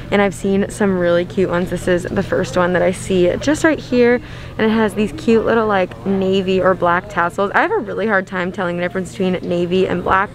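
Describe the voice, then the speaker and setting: A woman's voice: medium pitch, slight background noise. A young woman narrating a casual shop-with-me video in a large store, bubbly and gushing, with many "like" fillers, recorded on a handheld phone. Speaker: shopping vlogger. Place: large retail store, indoors. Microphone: handheld phone camera.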